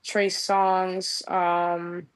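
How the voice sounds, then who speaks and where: A woman's voice: on the low side, completely flat delivery, very slow, clean tone. Bubbly young New York woman, slangy. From New York, USA. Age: young adult. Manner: bubbly, gushing, mixes in street slang. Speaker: guest musician. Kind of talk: casual podcast interview.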